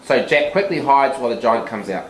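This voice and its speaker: A teacher retelling a fairy tale simply and deliberately for young children, with asides to them, in a classroom. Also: mid-range voice, lively intonation. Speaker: teacher narrating a children's puppet show. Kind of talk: live storytelling with asides to the children. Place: school classroom. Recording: echoey room, background noise.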